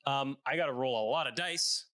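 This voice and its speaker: A man running a tabletop role-playing game on a podcast, explaining rules casually and haltingly. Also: medium pitch, sharp tone.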